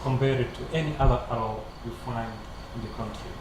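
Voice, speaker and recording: A man on a lecture panel answering a question with a hesitant manner, pausing on many fillers. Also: medium pitch. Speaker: co-researcher on the panel. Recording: echoey hall from far off, noisy.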